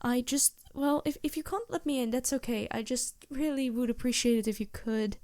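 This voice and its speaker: An actress plays a polite vampire woman passing as a humble traveling merchant. Her voice sits a bit high and comes through very dry, with no room sound. She speaks in an anxious, hesitant way, stumbling over words and apologizing as in an intimate fantasy audio drama. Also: normal speed, a little mellow, no room sound, lightly acted. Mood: anxious begging. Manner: hesitant, stammering, courteous, apologetic. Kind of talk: fantasy roleplay audio drama, addressing the listener.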